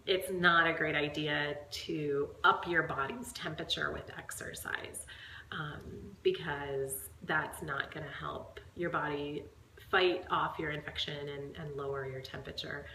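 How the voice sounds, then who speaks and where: A woman with a head cold talks through workout advice in a rambling, filler-heavy way. Her voice sits low but sounds bright and forceful, with a stuffed-up edge. She is recorded in an echoey room with faint noise behind her. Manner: chatty, rambling, many fillers. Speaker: fitness coach. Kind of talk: casual talk to camera. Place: at home.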